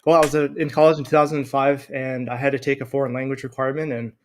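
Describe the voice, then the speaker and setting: An American man in his thirties, a scholar answering interview questions in a friendly, measured way. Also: medium pitch, level tone, a little hiss or hum. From United States. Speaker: guest scholar.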